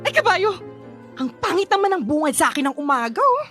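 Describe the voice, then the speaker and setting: A woman's voice: very high-pitched, big pitch swings, fairly quick, fairly bright tone, faint music behind, heavily acted. A woman playing a sassy, grumpy young Filipina in radio drama dialogue, bantering sarcastically with a Filipino accent. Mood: grumpy, suspicious. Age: young adult.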